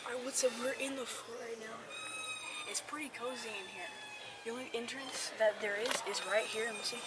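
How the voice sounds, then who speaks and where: A woman filming a prank vlog from a hiding place inside a big store, keeping her voice low and often whispering. Loud store noise runs constantly behind her, and the camera sits close. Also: fairly even intonation, a little fast. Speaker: prank vlogger. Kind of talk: casual vlog narration. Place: inside a large retail store, hidden in a fort of bags.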